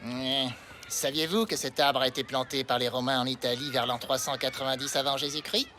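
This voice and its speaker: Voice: mid-range voice, fairly even intonation, fairly quick, pushed and bright, slight background noise, close to an everyday voice. An actor voicing a pedantic know-it-all schoolboy who lectures pompously in a French TV cartoon. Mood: smug.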